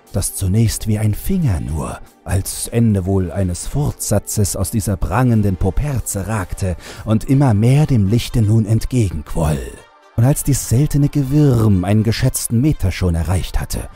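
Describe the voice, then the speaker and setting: Male horror audiobook narrator, deep and dark, deliberate dramatic delivery. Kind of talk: dramatic literary storytelling.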